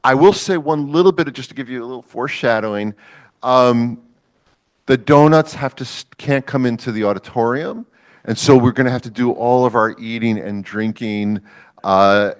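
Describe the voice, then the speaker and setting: A man's voice: mid-range voice, normal speed. A man hosting a public science lecture, chatting casually with the audience and introducing the speaker. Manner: chatty, with fillers, jokes with the audience. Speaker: event host introducing a lecturer.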